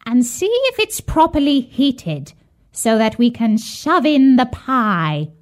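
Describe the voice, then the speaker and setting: A woman's voice: on the high side, very animated, pitched above the performer's own voice. A woman playing a theatrical, wicked old witch. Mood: sly, wheedling. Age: elderly. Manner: theatrical, drawn-out, scolding delivery. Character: wicked old witch, jeering, bossy, falsely sweet. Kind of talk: fairy-tale storybook character voice.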